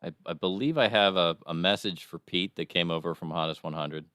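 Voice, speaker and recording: A man's voice: low voice, brisk, a bit bright, clear, resonant tone, no room sound, studio quality. A man co-hosting a comedy podcast, signing off in a playful announcer style. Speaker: podcast co-host. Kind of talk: casual comedy podcast chat.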